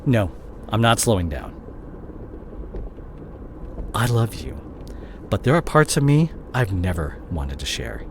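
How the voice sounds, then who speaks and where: A man acting in an audio roleplay as a guilt-ridden disgraced CEO boyfriend, speaking to his partner in a halting, emotional way. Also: fairly low pitch, sing-song, full character voice. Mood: ashamed confessing. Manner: halting, emotional, repeats and breaks off words. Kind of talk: dramatic audio roleplay monologue addressed to the listener. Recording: clear vehicle noise behind the voice. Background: car ambience.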